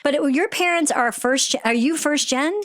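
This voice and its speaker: A woman in her fifties hosting a casual interview, teasing her guest with quick, playful questions. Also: high voice, a bit bright. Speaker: podcast host.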